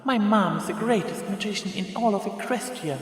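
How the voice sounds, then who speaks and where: Guy doing a little girl pony, high pitched, earnest n childish, storybook voice. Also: a little fast, very echoey, long reverb tail, close to an everyday voice. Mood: eager pride. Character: eager little filly who adores her mother.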